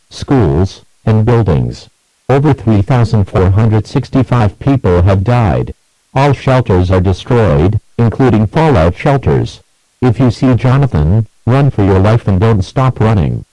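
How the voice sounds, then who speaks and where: A man reading a scripted emergency alert as an announcer, in a measured, even manner through lists and warnings. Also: on the low side, no room sound, low-quality recording.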